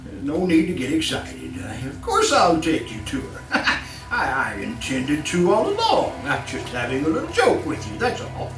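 A man's voice: a little high, huge pitch swings, normal speed. An actor playing a gleeful, sinister old male killer in old-time radio style, stammering and chuckling with mock courtesy. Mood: sly, placating. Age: older. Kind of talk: live old-time radio horror acting. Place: live stage performance. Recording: roomy, distant live stage with music.

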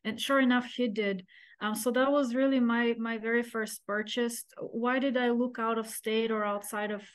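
Measured middle-aged woman guest. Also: on the high side, steady tone, clear, resonant tone. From Bulgaria. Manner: articulate, measured, with frequent um fillers. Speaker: podcast guest. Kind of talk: interview answers.

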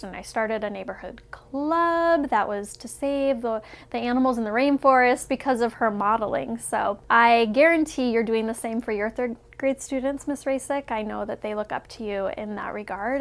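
A woman hosting a podcast interview, chatty and quick to laugh. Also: on the high side. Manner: friendly, laughs often, chatty asides. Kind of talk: conversational interview.

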